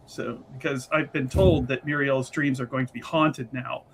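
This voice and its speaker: A man casually recapping a tabletop game as a player, halting and wryly understated. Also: mid-range voice, fairly even intonation, heavy background noise. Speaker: player on an actual play show. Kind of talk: casual recap and character introduction.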